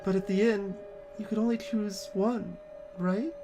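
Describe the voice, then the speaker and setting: Woman to play selfless young game hero; soft-hearted, halting, earnest, unhurried speech with music underneath. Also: medium pitch, steady tone, lightly acted. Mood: apologetic, sympathetic. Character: gentle, selfless lost child on a world-saving quest. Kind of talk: voiced video game dialogue reading.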